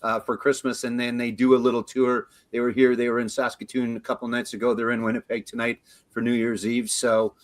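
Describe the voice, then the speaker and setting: A Canadian man hosting a show, chatting casually in a relaxed, rambling way. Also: medium pitch, fairly quick, dry recording. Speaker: show host. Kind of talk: casual chat.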